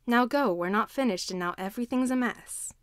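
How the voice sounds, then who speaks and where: Woman reading as a calm soft-spoken guy who teaches daycare, story narration, dry sound. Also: a little high, fairly quick, smooth, dry recording, lightly acted. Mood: reassuring. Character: reluctant, gentle male daycare teacher.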